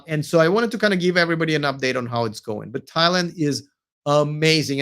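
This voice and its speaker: A man with a Russian accent hosts a live stream late at night, sounding tired as he works through viewer comments. His voice sits a little high for a man. He talks haltingly, full of fillers and restarts. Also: a bit bright. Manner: hesitant, many fillers and restarts.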